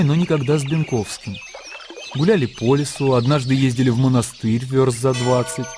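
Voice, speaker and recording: A man reads literary narration for a radio play. His mid-range voice tells the story in a measured way. Clear music plays behind him. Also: mid-range voice. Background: music and birdsong.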